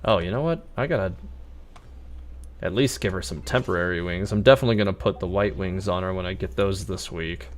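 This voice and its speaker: A man with a fairly low voice streams a game in a casual way. He chats along and thinks aloud as he plays. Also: brisk. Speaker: game livestreamer. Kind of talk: casual gameplay commentary. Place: indoors at a computer.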